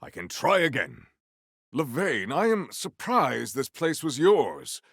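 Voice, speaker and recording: Video game warrior, solemn and grandiose, stiffly formal diction, rough creaky voice, very dry close studio sound. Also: male voice, a little high, wildly swooping pitch, slow pace, fairly bright tone, dry recording, exaggerated voice. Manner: formal, stilted, declamatory speech without contractions. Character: solemn, proud warrior hunter with stately grandiose temper. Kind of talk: video game character acting.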